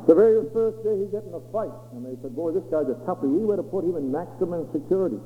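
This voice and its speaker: A man's voice: high-pitched, expressive, fast. A man with a Maine accent preaching, telling testimonies with dramatic swoops and acted-out quotes. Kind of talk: sermon testimony storytelling. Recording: echoey hall over a tinny lo-fi line with faint hum.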